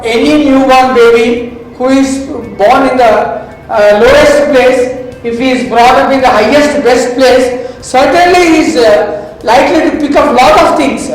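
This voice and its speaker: A man giving a formal lecture, speaking deliberately with many pauses and fillers. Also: very high-pitched, a little hiss or hum. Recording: echoey hall, distant mic, faint music.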